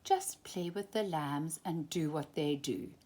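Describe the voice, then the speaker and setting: A woman's voice: low-pitched, unhurried, darkish tone, voice pushed down. A woman voicing a protective, doting mother sheep in a children's storybook, coaxing in a motherly way. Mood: comforting.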